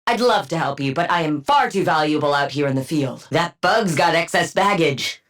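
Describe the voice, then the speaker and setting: A woman's voice: deep voice, lively intonation, normal speed. An actress playing a cool, sardonic female scientist supervillain in a video game, with precise diction and a clinical, condescending manner. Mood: smug, deadpan. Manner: clinical, condescending, dryly witty. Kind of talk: video game combat barks.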